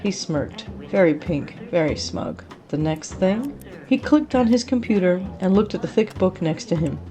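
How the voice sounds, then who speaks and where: A woman reading a scripted story as an expressive narrator. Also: on the low side, normal speed, crowd noise. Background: music.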